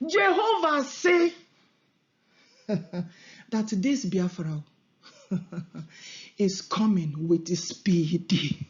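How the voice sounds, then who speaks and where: A woman's voice: mid-range voice, animated, extremely slow, pauses often. A woman with a Nigerian Igbo accent preaching and praying in a fervent prophetic style, emphatic and repetitive, switching between Igbo, English and Pidgin. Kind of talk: fervent prophetic sermon and prayer. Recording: dull lo-fi audio.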